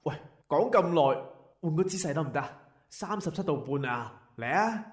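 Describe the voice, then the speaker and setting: A man's voice: on the high side, lively intonation, moderate pace, natural voice. A man playing a cheeky, grumbling diner in a Cantonese internet radio drama, with an echoey sound.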